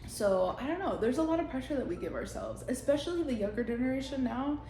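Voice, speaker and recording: A woman's voice: sits high, sing-song, quick pace, mellow. A young woman whose first language is Spanish, talking to her viewers in a blunt, profane, rambling way and laughing often. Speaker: vlogger. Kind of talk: unscripted casual monologue. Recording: roomy echo.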